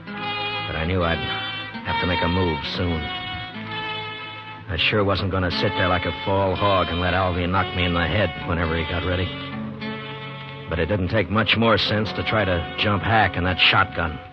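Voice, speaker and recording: An American man playing a stoic, weary marshal in a 1950s radio western, grim and deliberate, on a dull old recording. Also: low voice, loud music behind the voice. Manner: grim, deliberate, hard-boiled. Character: stoic, weary male frontier marshal narrating his ordeal. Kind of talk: 1950s radio drama narration and acting.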